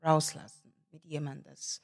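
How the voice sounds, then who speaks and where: A Nigerian woman being interviewed, her first language Efik, speaking in a Nigerian accent. Her voice sits a little low, and she talks in halting German, pausing often to find her words. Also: level tone, creaky. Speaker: guest filmmaker. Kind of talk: interview conversation.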